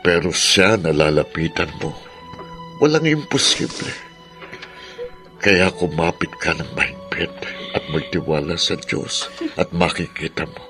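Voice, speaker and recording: Radio drama father: Filipino, deep voice, earnest and gentle counsel, music bed. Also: unhurried. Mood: earnest consoling. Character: gentle, devout, consoling father of a grown daughter.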